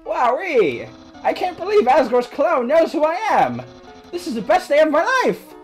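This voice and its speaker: A woman plays a boisterous young skeleton who shouts every feeling at full volume. The voice sits high and swings wildly up and down. This is a fan-made cartoon dub. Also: moderate pace, soft background music. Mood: ecstatic. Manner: shouty, excitable, dramatic. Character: loud, overjoyed young male skeleton.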